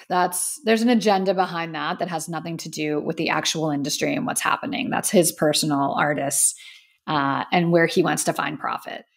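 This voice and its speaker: A woman's voice: deep voice, fairly even intonation, moderate pace, vocal fry. Earnest American woman hosting a podcast. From United States. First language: English. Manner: earnest, emphatic, conversational. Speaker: podcast host. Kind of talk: opinionated solo podcast commentary. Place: indoor studio with a plain backdrop.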